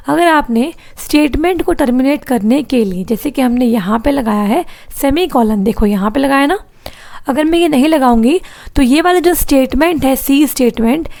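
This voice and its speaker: A woman's voice: sits high, a little mellow. Hindi-speaking woman teaching with a sore throat. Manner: explains step by step, asks "clear?" and repeats key points. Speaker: programming teacher. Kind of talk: online exam-prep lecture.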